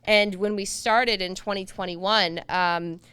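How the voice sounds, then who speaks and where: A woman speaks as a state representative at a candidates night. Her tone is earnest, with touches of self-deprecating humor, and her voice is very crisp and resonant in a dry, close recording. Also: mid-range voice, fairly even intonation, takes it slowly, bright, forceful tone, no echo. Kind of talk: stump speech at a candidates night.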